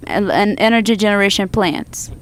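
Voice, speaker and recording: A woman with a smooth, clear voice of middle pitch works as a live interpreter, rendering a panel discussion into English from a conference booth. She keeps stopping mid-phrase, backing up and correcting herself as she tries to keep pace with the speakers. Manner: halting, frequent restarts and self-corrections.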